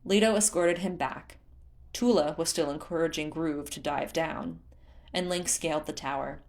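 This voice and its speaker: A woman reading a story aloud in an expressive, scripted narration style. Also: low-pitched, normal speed. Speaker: fan fiction narrator.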